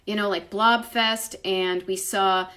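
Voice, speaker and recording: A woman with a Boston accent hosts a casual live chat from home. Her voice sits at an average pitch, and she talks in an effusive, rambling way. She often breaks off to restart a thought, then lands it with emphasis. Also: fairly even intonation, slow, sharp tone. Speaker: livestream host, retro travel writer. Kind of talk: casual chat answering live viewer comments. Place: at home, indoors.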